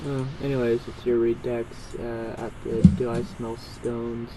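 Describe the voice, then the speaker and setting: A man chatting casually and reading aloud haltingly, stumbling and going back over words, over an internet voice call. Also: medium pitch, level tone. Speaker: participant in a group fan fiction reading. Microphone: internet group voice call. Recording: noisy online voice call.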